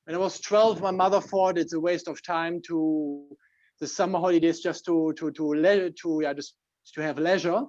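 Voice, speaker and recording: A man with a German accent and a slightly high voice presents himself with slides. He explains things informally, phrase by phrase. The sound is close and dry but a bit dull, like a video call. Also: fairly even intonation, normal speed, darkish tone, no echo. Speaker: club member presenting himself.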